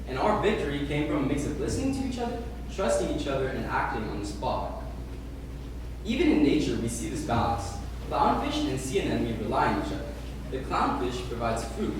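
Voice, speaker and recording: A young male student speaker gives a rehearsed speech with deliberate, emphatic phrasing. His mid-range voice moves with lively intonation. The recording is heavily reverberant. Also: on the high side. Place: live talk in a large room. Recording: roomy, distant, noisy event recording.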